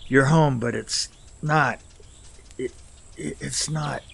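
A dying man in an audio drama, his voice heavily creaking and broken by gasps. He speaks slowly and remorsefully, wounded and weak, with faint outdoor noise behind him. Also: medium pitch. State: gasping, coughing, badly wounded. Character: dying, apologetic man gasping out last words.

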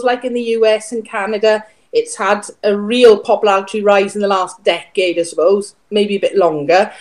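A middle-aged woman with a Welsh accent, a music teacher chatting as a guest on a video call from home. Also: on the high side. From Wales. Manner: chatty, friendly, explains at length. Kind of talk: casual interview chat. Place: home room, indoors. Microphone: video call webcam.

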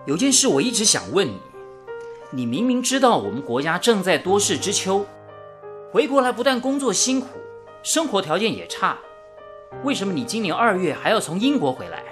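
Radio play: a modest, curious young engineer, lively inflection, faint music. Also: male voice, sits high, moderate pace. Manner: inquisitive, mild. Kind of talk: 1930s-set radio drama acting.

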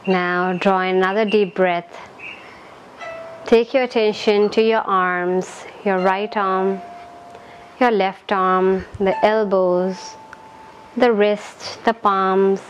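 Woman, meditation guide, very unhurried pace, gentle and soothing, medium pitch, noisy live recording with chimes. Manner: calm, gentle, unhurried, short phrases with long pauses.

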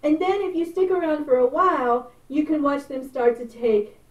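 A middle-aged woman talks through a travel vlog in a friendly, chatty way, explaining each step. Her voice sits a little high and comes across thin, like over a phone line. It also sounds distant from the mic, in a roomy space. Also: moderate pace. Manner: chatty, gestures with hands while explaining. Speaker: travel vlogger. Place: small indoor room before a purple curtain.

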